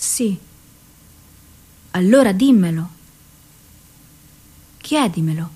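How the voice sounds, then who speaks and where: A woman acting an anguished, mentally ill, lovelorn woman in a theatrical dramatic monologue, with an intense, deliberate delivery. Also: medium pitch, lively intonation, dark tone, slightly rough, full character voice. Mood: withdrawn, defiant.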